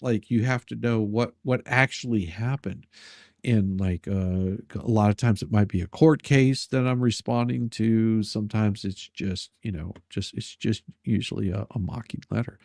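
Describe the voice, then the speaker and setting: A man's voice: on the low side, moderate pace, creaky, a little mellow, no room sound, studio quality. A man hosting a live stream in casual, joking chat with a teasing, sarcastic manner.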